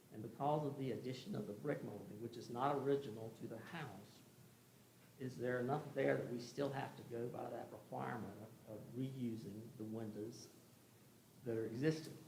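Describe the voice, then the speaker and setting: A man giving an informal, rambling presentation to a commission, explaining things in a conversational, folksy way as a contractor would. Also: medium pitch. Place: indoor meeting room. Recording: echoey room with faint background noise.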